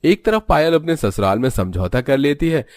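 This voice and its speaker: A man's voice: medium pitch, very animated, moderate pace, dry recording, studio quality. A man narrating a scripted cartoon family story.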